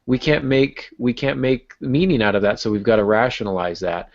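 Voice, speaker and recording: A man co-hosting a podcast in casual discussion, haltingly rephrasing as he thinks, on lo-fi audio. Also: mid-range voice, lively intonation, vocal fry. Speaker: podcast co-host.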